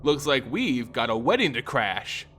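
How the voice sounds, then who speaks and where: A man's voice: mid-range voice, very animated, measured pace, a bit bright, a little hiss or hum. A man voicing a determined, wry werewolf woman in a fantasy story, speaking in a pointed, self-assured way. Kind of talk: dramatic fantasy audiobook character voice.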